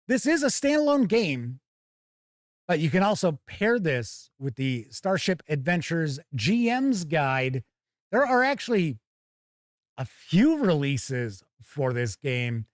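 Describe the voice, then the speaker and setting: A man's voice: a little high, dry recording, clean studio sound. A man hosting a tabletop gaming news show in a casual, chatty way, reading out items and adding wry asides. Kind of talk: casual live news commentary, reading blurbs and viewer comments.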